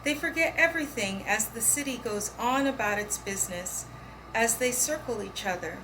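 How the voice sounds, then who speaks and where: A woman's voice: a little high, expressive, normal speed. A woman reading poems aloud as a poetry reader. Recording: clear background noise, room ambience.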